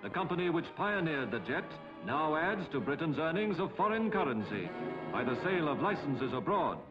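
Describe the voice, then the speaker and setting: A man's voice: a little high, sing-song, normal speed. A British man giving formal, declamatory narration for a 1950s public information film. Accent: British English. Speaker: documentary narrator. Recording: dull 1950 film soundtrack, music behind.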